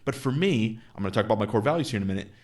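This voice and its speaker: A man's voice: fairly low pitch, lively intonation, very fast, a little mellow. A man in his thirties casually explaining his investing goals, thinking aloud with fillers and acting out conversations with clients. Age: 30s. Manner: acts out dialogue with clients in quotes. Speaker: investing channel host.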